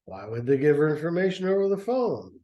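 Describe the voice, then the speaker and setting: A man's voice: a little high, big pitch swings, brisk, dull audio. A man reading a story aloud and breaking off into sarcastic, mocking asides, often stammering. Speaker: reader and commentator. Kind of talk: dramatic reading with sarcastic commentary.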